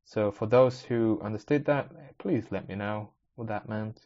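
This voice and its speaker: Rambling man with a lower voice. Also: normal speed, darkish tone. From Spanish-speaking country, moved to the UK at age ten. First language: Spanish. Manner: rambling, self-interrupting, wry. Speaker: podcast host. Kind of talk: casual solo podcast, thinking aloud while browsing. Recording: slightly dull, lo-fi recording.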